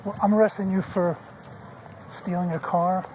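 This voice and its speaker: A man's voice: high voice, level tone, moderate pace, warm tone. A male police officer questioning someone on scene in a matter-of-fact, informal way, picked up on a body camera. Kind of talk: on-scene questioning during an arrest. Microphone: body-worn camera. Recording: noisy body camera audio.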